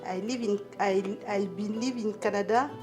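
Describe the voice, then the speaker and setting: A middle-aged woman from Ivory Coast with an Ivorian French accent, telling her own story in halting, limited English as a native French speaker. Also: mid-range voice, steady tone, over music. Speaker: documentary interviewee, newcomer immigrant. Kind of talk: personal account in an interview.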